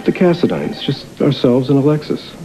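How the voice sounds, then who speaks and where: Music plays clearly behind a man acting as a controlling family patriarch in a TV soap. He speaks at a middling pitch, formal and measured, with cool menace under the politeness. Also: big pitch swings, natural voice, low-quality recording. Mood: smoothly polite. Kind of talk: television soap opera acting. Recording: TV drama audio under loud music.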